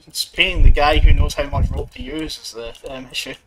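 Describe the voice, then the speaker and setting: A man's voice: fairly high pitch, steady tone, normal speed, a crowd in the background, rough recording. A man hosting his game stream from a shared room, chatting casually with wry, morbid humor and plenty of fillers. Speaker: streamer and channel host. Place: shared room at a home gaming gathering.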